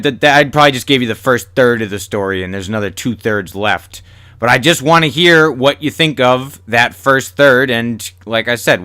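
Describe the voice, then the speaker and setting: A man's voice: lowish voice, sharp tone, clear, resonant tone, no echo, studio quality. A man hosting a horror podcast, chatting casually to listeners in a rambling, repetitive, sweary way. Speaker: horror podcast host and writer. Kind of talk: casual solo podcast chat.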